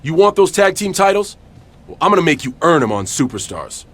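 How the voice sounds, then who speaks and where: A man playing an intense, defiant wrestling hero in a video game, confrontational and sardonic with a husky voice. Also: on the high side, very animated, brisk, a bit bright. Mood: angry, defiant. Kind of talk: video game cutscene acting.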